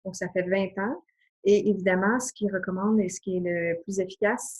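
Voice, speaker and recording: A woman's voice: mid-range voice, darkish tone, slightly rough, rough recording. A woman in her forties with a Québécois accent, a health coach explaining things to camera in an earnest, casual way, with many hesitations. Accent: Québécois French. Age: 40s.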